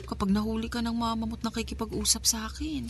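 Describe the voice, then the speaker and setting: An actress playing a gentle, downtrodden young housemaid in a Filipino radio drama, with a soft, emotional, pleading delivery. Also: female voice, on the high side, flat delivery, fast, a little hiss or hum, lightly acted. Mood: puzzled, cautious.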